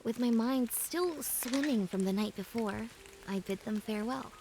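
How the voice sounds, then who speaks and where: A woman playing a reflective young woman who narrates her journey in a measured, wistful storybook style. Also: on the high side, music in the background, lightly acted, low-quality recording. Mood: wistful, gentle. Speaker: narrator and protagonist. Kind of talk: scripted first-person storybook narration.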